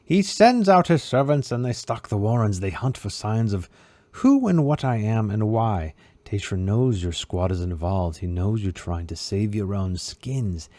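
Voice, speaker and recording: Guy doing a cool ominous storybook wizard, lowish mellow voice, gives orders. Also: lively intonation, unhurried, no echo. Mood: grim warning. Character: cool, commanding wizard in a fantasy novel.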